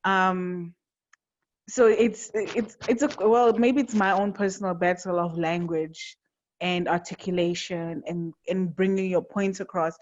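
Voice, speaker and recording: A woman's voice: fairly low pitch, steady tone, measured pace. A woman from Angola hosting a conversation, speaking earnestly with frequent restarts. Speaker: host. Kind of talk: conversational interview. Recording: dull lo-fi sound.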